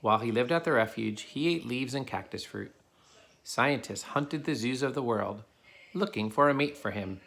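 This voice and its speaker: A man's voice: medium pitch, normal speed, creaky, fairly bright tone. A man reading a children's picture book aloud as a father would to his child, in a plain storytelling way.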